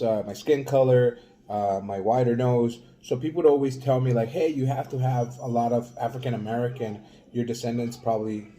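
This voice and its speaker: A middle-aged man from El Salvador chats casually to his viewers in a mellow, warm voice. He talks in halting starts, often pausing on fillers as he thinks aloud. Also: medium pitch. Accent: Mexican-influenced Spanish. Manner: rambling, halting, many fillers. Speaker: vlogger. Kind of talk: casual vlog talk.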